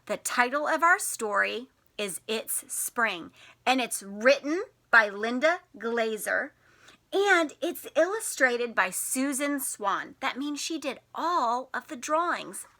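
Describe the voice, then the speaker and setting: High, bright, lively woman reading to children. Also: slow pace. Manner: sing-song, expressive, questioning young listeners. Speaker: teacher and storytime host. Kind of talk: children's picture-book read-aloud.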